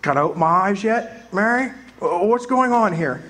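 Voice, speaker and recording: A man's voice: high-pitched, moderate pace, a little hiss or hum. A man acting in a live community radio play, voicing an overjoyed, breathless husband and father. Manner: excited, breathless joy. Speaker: actor playing George Bailey.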